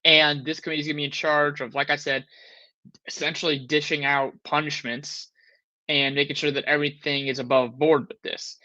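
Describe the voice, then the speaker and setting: A man's voice: fairly high pitch, little pitch movement, fairly quick, bright, forceful tone, no echo, highs cut off. A man in his thirties co-hosting a sports talk show, rambling with restarts and frustrated. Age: 30s. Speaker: podcast co-host.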